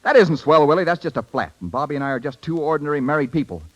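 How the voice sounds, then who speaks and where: A hot-tempered young American husband in an old radio play. He talks fast and swings widely in pitch, proud and fed up, in period radio style. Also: lively intonation, muffled recording. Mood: bitter. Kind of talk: 1930s radio acting. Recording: 1930s radio drama broadcast.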